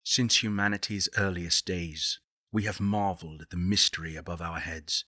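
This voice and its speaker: An actor playing a reflective, reverent visionary director in a strategy game, speaking in a deliberate, contemplative and rhetorical way. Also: male voice, deep voice, fairly even intonation, pushed and bright, dry recording, clean studio sound, exaggerated voice. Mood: awed, resolute. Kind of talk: scripted dramatic quotations from a strategy game.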